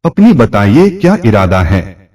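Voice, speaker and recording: Man voicing a coy, flirtatious woman, husky. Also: lowish voice, very animated, measured pace, a little mellow, distant mic, full character voice. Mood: coy, inviting. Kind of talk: audio storybook dialogue.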